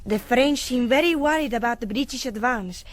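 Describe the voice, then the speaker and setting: High-voiced, excitable young woman played by an actress. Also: normal speed, lightly acted. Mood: eager. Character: excitable, respectful young Spanish woman, convent visitor. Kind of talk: radio drama acting.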